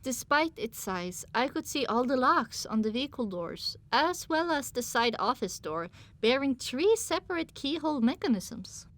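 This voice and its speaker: A woman's voice: high voice, sing-song, normal speed, fairly bright tone. A woman reading scripted first-person story narration as an audiobook narrator.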